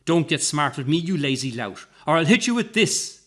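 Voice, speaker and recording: Man doing a shrill, belligerent old woman. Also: very animated, normal speed, fairly bright tone. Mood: angry, threatening. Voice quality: shrill, growling. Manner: scolding, accusing. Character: shrill, belligerent, stressed elderly woman shopper.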